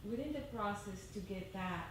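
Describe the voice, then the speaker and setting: A woman far from the microphone in a very reverberant, noisy room shares her own experience with a group. She speaks haltingly, feeling her way through each thought. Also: medium pitch, animated. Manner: hesitant, halting. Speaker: meditation group participant. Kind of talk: personal sharing in group discussion. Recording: echoey, distant microphone, noisy background.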